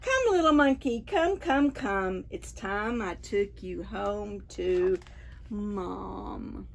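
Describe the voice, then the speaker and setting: Woman as an eager, lively butterfly guide. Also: mid-range voice, extremely slow, creaky, slight background noise, exaggerated voice. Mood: helpful. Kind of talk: preschool picture-book read-aloud.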